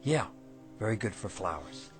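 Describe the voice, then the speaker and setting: An actor playing a weary, kindly, debt-ridden shop owner in a radio drama. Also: male voice, on the low side, sing-song, normal speed, music in the background. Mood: polite, distracted.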